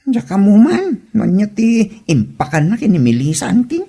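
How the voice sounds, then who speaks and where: A woman's voice: mid-range voice, big pitch swings, moderate pace, warm tone, slightly rough. A woman with an Ilocano Filipino accent playing a nosy, blunt gossiping friend in a comedy audio drama.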